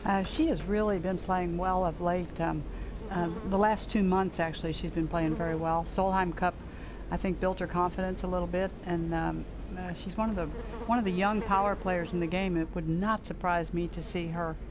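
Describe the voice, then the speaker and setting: A woman giving golf color commentary in a relaxed, conversational way, with frequent "uh" and "um". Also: lowish voice, normal speed, hardly any pauses, background noise. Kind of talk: TV golf analysis.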